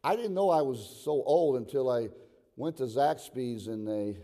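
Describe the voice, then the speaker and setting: A man's voice: mid-range voice, measured pace, dark tone. A man in his sixties preaching a sermon, moving between conversational talk and emphatic exhortation, repeating phrases for emphasis. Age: 60s. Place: large reverberant room, preaching to a congregation. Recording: reverberant hall sound.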